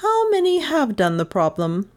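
A woman's voice: high voice, wildly swooping pitch, moderate pace, pitched above the performer's own voice. A woman reading a children's story as a stern female schoolteacher who is formal, clipped and reproachful. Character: stern, shaming schoolteacher woman, cool and exacting. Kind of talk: children's audiobook character voice.

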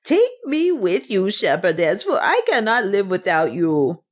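A woman's voice: very animated, measured pace. A woman voicing a wounded, half-mad, devoted servant woman who pleads and wails in an audiobook. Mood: wailing. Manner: shrill, wailing, pleading.

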